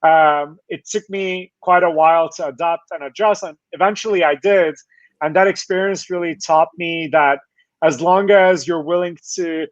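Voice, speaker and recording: Higher-voiced man on dull, dry call. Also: dry recording. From Turkey, later Scotland. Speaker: guest, company founder. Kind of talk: conversational interview storytelling.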